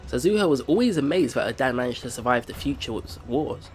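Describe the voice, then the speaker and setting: A man reads a story aloud as the host of a game playthrough. His medium-pitched voice is expressive and highly varied in intonation, with background music behind it. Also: fast talker. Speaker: let's play host. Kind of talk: reading visual novel narration aloud.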